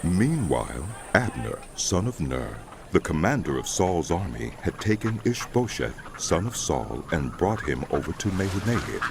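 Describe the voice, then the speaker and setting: A man narrating dramatized scripture in a solemn, measured way, phrase by phrase, over music. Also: low-pitched, sing-song, noisy background. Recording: loud music bed under voice.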